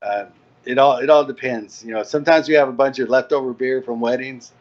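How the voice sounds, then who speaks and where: A man with a medium-pitched voice talks casually as a guest, laying out plans like an organizer. The audio is dull and lo-fi. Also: steady tone, a little fast. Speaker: interview guest, event organizer. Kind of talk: casual chat explaining event plans.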